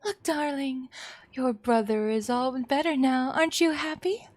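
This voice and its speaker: A woman's voice: sits high, a little slow, darkish tone, no room sound, voice pushed up. A woman voicing a maniacal, doting mother in a visual novel, theatrical, sweetly coaxing and threatening. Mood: giddy, sweet. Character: maniacal doting mother, cloyingly cheerful and menacing.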